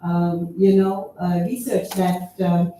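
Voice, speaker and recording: A woman researcher in her fifties giving a conference presentation, halting with many fillers, a loud voice. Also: lowish voice, level tone, slight background noise. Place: conference room. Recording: echoey hall, voice far from mic.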